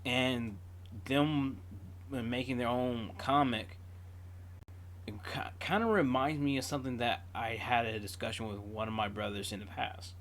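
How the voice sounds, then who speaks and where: A man's voice: mid-range voice, a little slow, a bit bright, a little hiss or hum. A man with a mid-range voice talks as the host of a reaction channel. His delivery is casual and unscripted, like someone chatting to his viewers.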